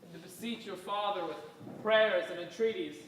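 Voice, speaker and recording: Actor as eager young lover, bright tenor, distant and echoey. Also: male voice, high-pitched, moderate pace, a little hiss or hum. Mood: dismayed. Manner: earnest, declaiming. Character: earnest young suitor. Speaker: stage actor. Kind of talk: stage play performance. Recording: echoey hall from far off.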